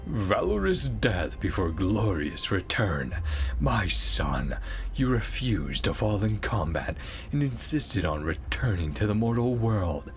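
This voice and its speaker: A man's voice: fairly low pitch, very animated, takes it slowly, faint music behind, full character voice. A man voicing a stern warrior king and father, declaiming with imperious scorn, as in game dialogue. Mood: scornful, imperious.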